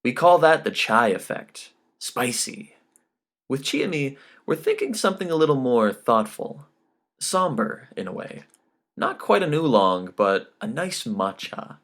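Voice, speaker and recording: A man reading an audiobook, playing a smooth-talking, chatty male record label man with a glib sales manner. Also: mid-range voice, very animated, a little slow, a bit bright, voice pushed up. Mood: glib, musing. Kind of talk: audiobook character dialogue.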